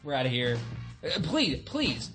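A man's voice: fairly high pitch, a little slow, over music. A man hosting a casual podcast, joking around and winding the episode down. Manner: lively, joking, wraps up the show. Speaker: podcast host. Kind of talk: casual chat.

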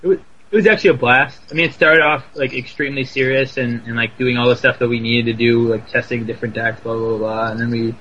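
A man chatting on a podcast in a halting way, with lots of restarts and filler words. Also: mid-range voice, fairly even intonation, pushed and bright, breathy edge. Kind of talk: casual podcast chat. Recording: dull lo-fi line with faint noise.

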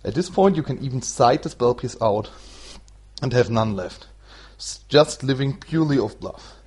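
A man from Germany with a German accent, hosting a solo podcast in an explanatory, somewhat halting non-native way. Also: medium pitch, a little mellow, husky. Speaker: podcast host. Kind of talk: explanatory solo podcast talk about card game strategy.

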